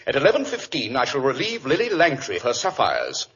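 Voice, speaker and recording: An actor playing a cool, wry English detective in vintage radio style, speaking with an urbane, teasing air. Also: male voice, medium pitch, wildly swooping pitch, a little fast, fairly bright tone. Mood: dryly amused. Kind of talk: 1940s radio acting. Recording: dull vintage radio recording.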